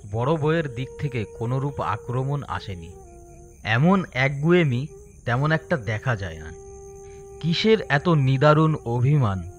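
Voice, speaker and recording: A man's voice: mid-range voice, lo-fi sound. A man narrating a scripted story as a storyteller.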